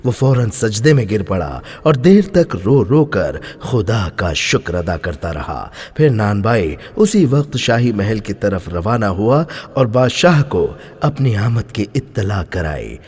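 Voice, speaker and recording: A man narrating a scripted moral story in an expressive, emphatic storytelling manner. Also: on the low side, measured pace, hardly any pauses, husky. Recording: long hall-like reverb.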